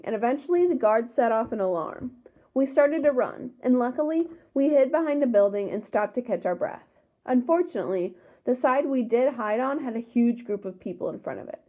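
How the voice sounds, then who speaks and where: A thin, phone-like recording carries a woman's high voice. She reads a scripted first-person story aloud as its narrator. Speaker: story reader.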